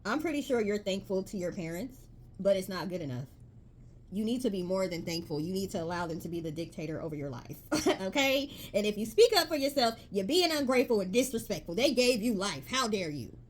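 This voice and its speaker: Woman, tarot reader, chatty, emphatic, medium pitch, faint noise behind. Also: lively intonation, a little fast. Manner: chatty, emphatic, reads card text aloud. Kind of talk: casual tarot reading to viewers.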